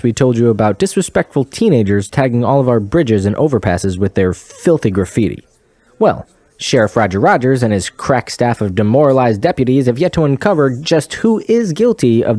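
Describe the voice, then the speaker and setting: Give the role of a smug, sanctimonious small-town news anchor to a man acting it. He swings his pitch with lively self-importance in a mellow, unforced tone. It is styled as a comedy local radio broadcast. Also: dry recording, natural voice. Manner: pompous, sanctimonious.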